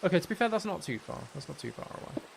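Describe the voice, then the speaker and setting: A man's voice: a little high, big pitch swings, racing, gravelly, very noisy. A man streaming a game, chatting casually in a rambling, hesitant way as he thinks aloud. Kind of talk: casual chatty gaming livestream commentary.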